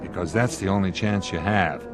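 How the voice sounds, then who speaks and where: A man playing a deep-voiced, commanding airline captain. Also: close to an everyday voice. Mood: resolute. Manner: deliberate, explanatory, commanding. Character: authoritative, reasoning male airline captain under pressure. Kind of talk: radio drama acting. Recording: dramatic music bed under the dialogue.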